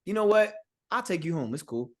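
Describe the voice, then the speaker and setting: A man with a somewhat high voice argues his point in loose slang, checking in with "you feel me" as he goes. It is casual, opinionated podcast banter. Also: brisk. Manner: animated storyteller, slangy, repeats "you know what I'm saying". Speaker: podcast guest.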